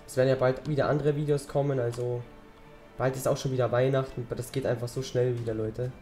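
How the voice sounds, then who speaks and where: A teenage boy talks his way through a game, rambling and chatty, his voice slightly hoarse from a cold. His tone is mellow and dark, and faint music plays behind him. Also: mid-range voice, little pitch movement, quick pace. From German-speaking Europe. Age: teens. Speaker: gaming channel host. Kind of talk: casual let's-play commentary.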